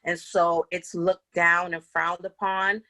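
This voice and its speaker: A young woman from the Bronx with a Bronx New York accent, speaking as a podcast guest and empowerment coach, earnest and pausing often with "um". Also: lowish voice, level tone, bright, forceful tone. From the Bronx, New York City. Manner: earnest, many "um" pauses, laughs easily. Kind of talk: casual interview talk.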